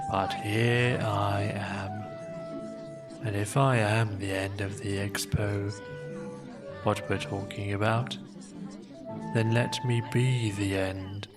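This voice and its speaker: A storybook narrator voicing a gloomy, grumbling old donkey who complains slowly and with resignation. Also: sits low, voice pushed down. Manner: slow, flat, resigned complaining.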